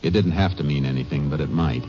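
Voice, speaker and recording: A deep-voiced stern Texas Ranger. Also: male voice, quick pace, warm tone, soft background music. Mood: grim narration. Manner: clipped, firm, interrogating. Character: steady, hard-pressing male Texas Ranger investigator. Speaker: lead detective and narrator. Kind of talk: 1950s radio drama acting. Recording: muffled old radio broadcast.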